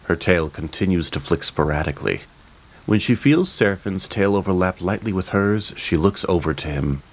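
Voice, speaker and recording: A man as storyteller, reading scripted, descriptive narration for a fantasy audio drama in a measured manner. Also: low-pitched, expressive, poor audio. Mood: calm, descriptive. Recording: rain ambience noise behind the voice. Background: rain ambience.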